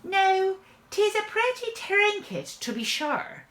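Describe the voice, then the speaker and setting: A woman's voice: very high voice, very animated, takes it slowly, fairly bright tone, roomy sound, pitched above the performer's own voice, heavily acted. A woman voicing a scruffy, lovestruck Irish tinker in a children's story, with an Irish accent and folksy, scheming charm. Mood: amazed.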